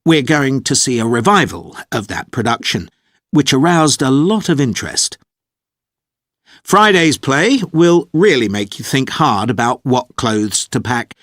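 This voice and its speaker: A man playing an organised, courteous tour leader, giving a measured, clearly explained scripted briefing for a listening test. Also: on the high side, a bit bright, no room sound, clean studio sound. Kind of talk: scripted informational monologue for an English listening test.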